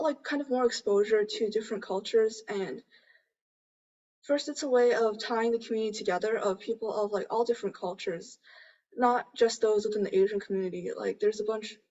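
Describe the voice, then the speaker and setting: A woman's voice: on the high side, moderate pace, a little mellow, highs cut off, a little hiss or hum. A teenage young woman whose first language is Chinese, speaking hesitantly and informally in a panel discussion with many fillers. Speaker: youth forum participant.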